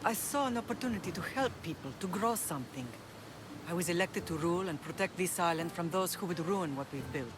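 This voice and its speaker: An actress playing a middle-aged mother who leads a Greek island, speaking in a measured, earnest, formal way in a dramatic game scene. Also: fairly low pitch, lively intonation, background noise, close to an everyday voice. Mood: grave resolve. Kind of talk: dramatic game cutscene acting. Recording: music behind the voice.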